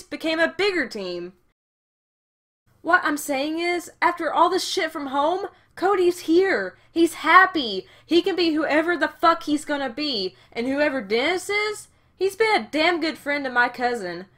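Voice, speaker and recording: A woman's voice: high-pitched, very animated, a little slow, fairly bright tone, exaggerated voice. A woman playing a frank, protective young woman from a dealer crew in an audio drama, speaking in a casual, earnest way.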